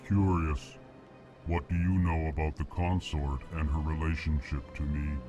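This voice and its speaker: Give me a ponderous alien diplomat in a game cutscene. The voice sits at the very bottom of the register and creeps along in an unbroken monotone. He flatly announces each emotion before he speaks. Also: over music. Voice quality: very deep, slow monotone drone. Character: massive slow alien male diplomat, emotionless. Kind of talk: video game cutscene dialogue.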